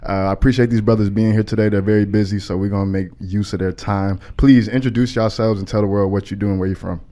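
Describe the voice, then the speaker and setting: A man's voice: on the low side, fairly even intonation, fast, mellow. A man hosting a casual, hyped podcast interview, talking in slang and throwing in encouraging interjections. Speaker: podcast host interviewing guests.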